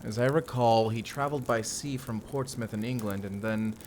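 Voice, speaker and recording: A man playing a determined, earnest vampire slayer in a cinematic audio roleplay, explaining things in a measured way. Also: lowish voice, natural voice. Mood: earnest, resolute. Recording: clearly noisy ambience behind the voice.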